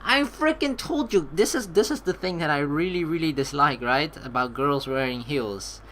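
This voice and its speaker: A young woman doing let's play commentary, reading game text aloud in an animated, playful, blunt and casual way. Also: low-pitched, moderate pace, background noise.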